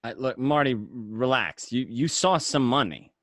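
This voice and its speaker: A calm, placating gangster friend, played by a male actor in a crime radio play. His mid-range voice is soothing and hesitant, with a very dry sound. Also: medium pitch, unhurried, no echo.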